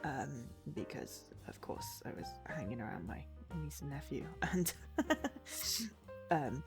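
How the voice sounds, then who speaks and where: A woman's voice: very deep voice, big pitch swings, slow, creaky, over music. A woman streaming a game while she has a cold, chatting casually and hesitantly, with lots of ums and stumbles over names as she reads out a game description. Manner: chatty and hesitant, full of ums, unsure of name pronunciations. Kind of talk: casual livestream chat, reading a game blurb aloud.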